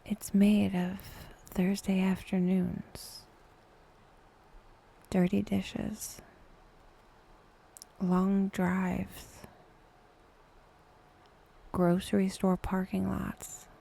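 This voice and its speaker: Slow, near-whispering woman guiding meditation. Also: medium pitch, completely flat delivery. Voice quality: soft, breathy, often near-whispered. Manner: unhurried, with long pauses between short phrases. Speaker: meditation guide, podcast host. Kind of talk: guided meditation, soft slow scripted narration.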